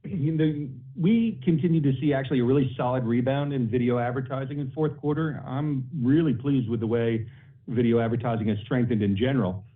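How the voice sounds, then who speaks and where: Man, medium pitch, executive, conversational earnings call answer, narrow telephone audio. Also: brisk. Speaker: company executive. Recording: narrow phone-like conference line.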